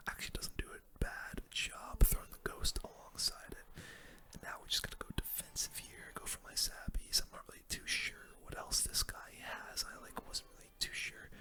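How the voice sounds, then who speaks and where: A gaming video host giving relaxed, conversational ASMR commentary on their own play in a soft, breathy whisper.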